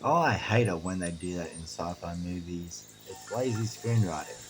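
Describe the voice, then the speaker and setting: A man's voice: low-pitched, normal speed, faint music behind, close to an everyday voice. A man playing an eager, wry travelling companion in a fan audio drama. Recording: noisy ambience behind the voice.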